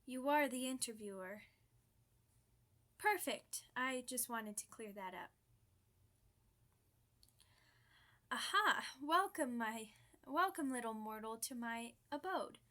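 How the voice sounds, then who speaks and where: A woman's voice: fairly high pitch, animated, a little slow, bright, forceful tone. A woman voicing a chatty, playful, theatrical old vampire in an ASMR roleplay, full of mock-grand asides. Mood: playfully theatrical.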